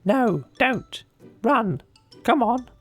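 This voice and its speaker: A reader voicing a bossy, fussy male rabbit with a brisk, officious manner for a children's storybook. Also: mid-range voice, animated, soft background music, pitched above the performer's own voice. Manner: brisk, officious, covering up uncertainty.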